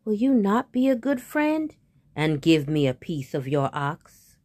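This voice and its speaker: A woman's voice: lowish voice, big pitch swings, a little mellow, dry recording. A woman voicing a hungry, pleading, easily panicked male tiger in a storybook tale, drawing out the words in overdramatic pleas. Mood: wheedling, self-pitying. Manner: drawn-out, wheedling, overdramatic pleading. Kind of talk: animated storybook character voice.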